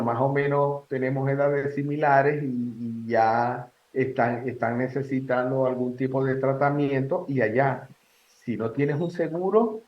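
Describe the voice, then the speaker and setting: A Venezuelan man in his sixties, a guest giving measured advice in a casual interview, with frequent "este" fillers. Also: medium pitch, reverberant, dull audio. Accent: Venezuelan Spanish. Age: 60s.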